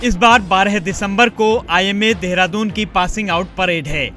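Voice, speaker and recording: A man's voice: high-pitched, rapid-fire, pushed and bright, soft background music. A man reading a scripted news voice-over as the presenter.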